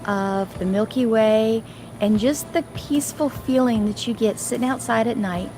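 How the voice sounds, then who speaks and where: A woman astrophotographer talking calmly and in a relaxed way about her hobby. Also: on the high side, moderate pace, background noise. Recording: clear background ambience.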